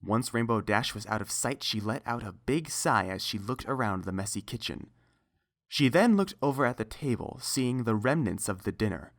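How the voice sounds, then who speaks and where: Woman narrating a fan story, really really low voice, scripted read.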